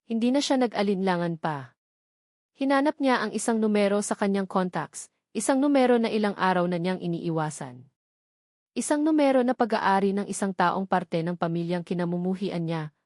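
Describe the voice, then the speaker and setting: A woman reading a scripted dramatic love story as narrator, in a measured storytelling manner. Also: mid-range voice, a little mellow, no room sound, clean studio sound.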